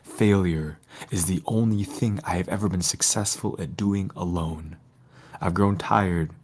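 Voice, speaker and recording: A man giving a solemn, measured, lyrical reading of spoken-word poetry. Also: low voice, darkish tone. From Detroit, United States. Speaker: poet.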